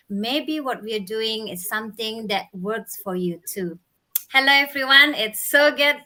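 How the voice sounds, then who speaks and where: A woman's voice: fairly high pitch, pushed and bright. A middle-aged woman from Indonesia hosting a live video stream, upbeat and welcoming, with small slips in her English, over a video call.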